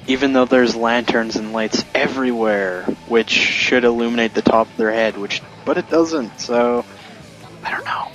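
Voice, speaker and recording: A man with a medium-pitched voice chats as part of a casual gaming commentary. He explains his points in a rambling, slightly hesitant way. Game music plays softly behind him. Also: normal speed, low-quality recording. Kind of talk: casual group playthrough commentary.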